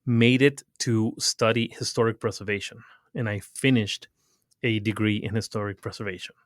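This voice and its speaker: A middle-aged man from Ecuador, a guest in a studio interview, telling his story casually in quick bursts. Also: on the low side, steady tone, dry recording. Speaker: guest, retail consultant. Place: recording studio.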